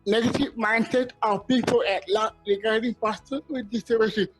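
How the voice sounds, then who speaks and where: Bangladeshi guy, plain talk, little stumble, soft music under. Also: high-pitched, steady tone, fairly quick, poor audio. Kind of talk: short advocacy statements.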